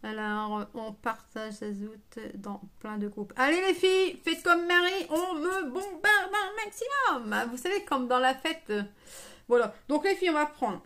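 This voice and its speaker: High-voiced woman chatting through a make-up live. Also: fairly bright tone, slight background noise. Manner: chatty, familiar, playful asides to viewers. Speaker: live make-up streamer and beauty seller. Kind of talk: casual live tutorial chat with viewers.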